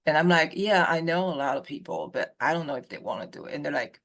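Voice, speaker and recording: A copyright consultant with a gravelly, creaky voice talks in a casual group chat. Their speech is halting, full of restarts and fillers. The pitch sits in a middle range. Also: lively intonation, fairly bright tone.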